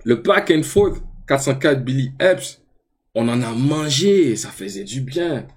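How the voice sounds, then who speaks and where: A young man with a Quebec French accent, commenting casually on a live stream and switching into English slang with animated outbursts. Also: medium pitch, a little slow, slightly rough. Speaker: streamer reacting to rap videos.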